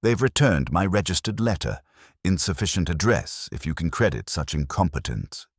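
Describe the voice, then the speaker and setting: A man's voice: sits low, very animated, normal speed, husky, no room sound, studio quality, exaggerated voice. A man voicing a self-important, indignant fellow in a mystery story, pompous and aggrieved. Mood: wounded indignation.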